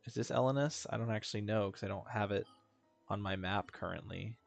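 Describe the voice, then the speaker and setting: A man's voice: lowish voice, fairly quick, vocal fry. A man streaming a video game, chatting casually and reading dialogue aloud with mumbly asides and stumbles. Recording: background game music.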